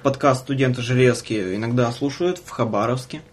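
A man's voice: medium pitch, brisk. A young man hosting a podcast, chatting casually with slang and swearing. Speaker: podcast host.

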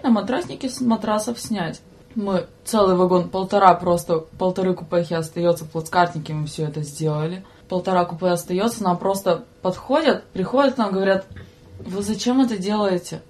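Young woman, podcast guest, medium pitch, casual storytelling, slangy, expressive, quotes others in direct speech. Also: fairly quick. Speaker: podcast guest, train conductor.

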